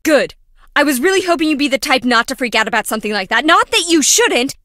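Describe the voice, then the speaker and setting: A quirky young female engineer in a video game. Her voice is high, bright and very animated, with a chatty, wry, scatterbrained way of talking, closely and dryly recorded. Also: quick pace, no room sound, studio quality. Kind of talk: video game character voice acting.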